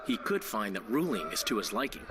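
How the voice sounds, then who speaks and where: An actor playing a flamboyant bard who dabbles in spying, theatrical and self-justifying in a video game cutscene. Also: male voice, on the low side, very animated, fairly quick, background noise. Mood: intrigued. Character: vain, flamboyant male bard and amateur spy.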